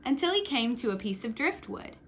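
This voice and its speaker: A woman reading a picture book aloud to young children, expressively, with short hushed asides to the listeners. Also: fairly high pitch, brisk, a little hiss or hum. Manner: expressive, with hushed whispered sound words and asides. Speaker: storytime reader for young children.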